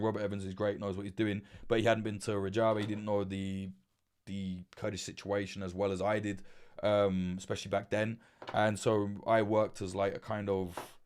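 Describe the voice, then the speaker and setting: A man talks casually into a desk mic at his laptop on a livestream, with a slightly low voice. He is frank and swears freely, rambling and restarting his sentences as he answers viewer questions. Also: a little mellow, clean tone. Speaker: livestream host giving journalism advice. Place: indoors at a laptop with webcam. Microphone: desk mic through a mixer.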